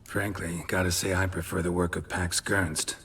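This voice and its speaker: A man voicing a stoic middle-aged monster hunter in a game, gruff and gravelly, speaking in terse deadpan lines. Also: moderate pace, full character voice. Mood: dry, guarded. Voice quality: gravelly, low. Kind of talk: video game cutscene dialogue.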